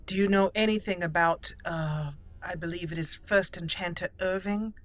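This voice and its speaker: A woman hosting a roleplay game playthrough, commenting and reading aloud deliberately as she thinks. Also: lowish voice, steady tone. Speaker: let's play host. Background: game music.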